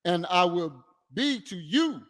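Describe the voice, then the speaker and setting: A man reading Bible verses aloud in a formal, measured and deliberate way, phrase by phrase, with long reverb. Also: high voice, sing-song, fairly bright tone. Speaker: scripture reader assisting the preacher. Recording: long hall reverb, distant-sounding.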